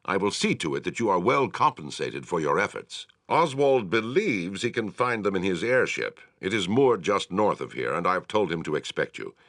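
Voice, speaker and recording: An actor playing a grave, commanding town war leader in a fantasy video game, speaking in a measured, formal, authoritative way. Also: male voice, deep voice, big pitch swings, fairly bright tone, no room sound, studio quality, exaggerated voice. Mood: grateful, resolute. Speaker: quest-giving military commander. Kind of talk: video game character acting, formal dialogue.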